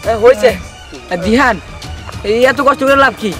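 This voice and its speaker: A man's voice: extremely high pitch, steady tone, normal speed, sharp tone, soft background music. A man voicing a reluctant, worn-out young cartoon commando trainee who keeps complaining. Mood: weary, protesting. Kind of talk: Bengali cartoon voice acting.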